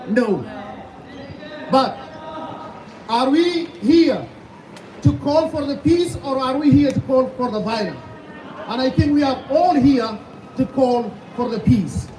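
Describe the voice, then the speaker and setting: A Sudanese-accented man in his thirties delivers a passionate speech at an outdoor rally, his voice pitched very high and firing off rhetorical questions. He is heard from far away through echoing outdoor sound. Heavy noise surrounds him. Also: lively intonation, slow pace. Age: 30s. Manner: fervent, hammering rhetorical questions, at times shouting. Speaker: pastor speaking at a rally. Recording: distant, echoey outdoor sound with loud background noise.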